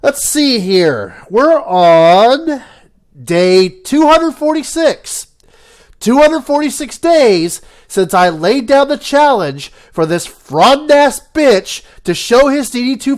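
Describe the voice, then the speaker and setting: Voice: mid-range voice, big pitch swings, slow pace. Congested, nasal, sarcastic commentator. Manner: sarcastic, profane, theatrical emphasis. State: congested from a cold. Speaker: YouTube commentator. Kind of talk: scripted mocking commentary.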